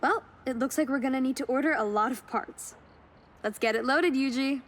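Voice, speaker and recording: A woman's voice: high-pitched, moderate pace, natural voice. A woman playing an upbeat, friendly car enthusiast in video game cutscene dialogue. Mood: friendly, practical. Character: excited young female car enthusiast, upbeat barn-find partner. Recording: vehicle and ambient noise behind.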